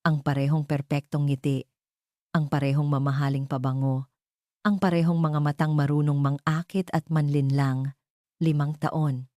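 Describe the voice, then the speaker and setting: A woman's voice: low-pitched, warm tone, no room sound, studio quality. A woman with a Filipino accent reading a scripted dramatic story as narrator.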